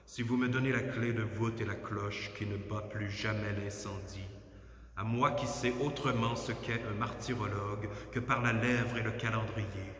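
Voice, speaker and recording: A man giving a solemn, measured, declamatory poetry recitation inside a church. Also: lowish voice, steady tone, a bit bright. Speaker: poetry reader. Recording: very echoey church, long reverb.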